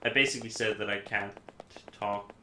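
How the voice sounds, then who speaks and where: A man from Iceland with an Icelandic accent, a native Icelandic speaker, chatting casually as a game streamer in a halting, rambling, self-correcting way. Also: lowish voice, fairly even intonation, sharp tone. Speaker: live streamer playing games. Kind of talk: casual chat while gaming. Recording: echoey room, faint background noise.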